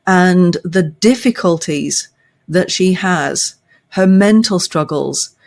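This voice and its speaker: An older woman, a podcast guest, discussing literature thoughtfully with measured, emphatic phrasing and deliberate pauses. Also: fairly low pitch. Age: older, past fifty.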